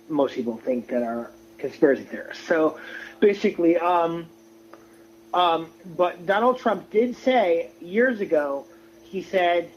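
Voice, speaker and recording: A man giving an opinionated, casual rant on a livestream, halting and often repeating his words. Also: a little high. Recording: muffled lo-fi audio with noise.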